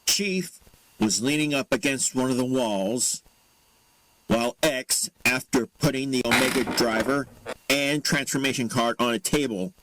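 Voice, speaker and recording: A man's voice: medium pitch, unhurried, background noise. Give the narration to a man with a mid-range voice. He reads scripted action storytelling in a dramatic audio drama style, setting out each scene as narrator.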